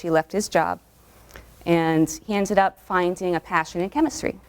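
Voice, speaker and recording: A young adult woman, a lab technologist, giving a friendly, explanatory talk about her work and background, with frequent ums. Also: on the low side. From New England and Southern California. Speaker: guest speaker, cytogenetic technologist. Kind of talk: presentation talk with slides.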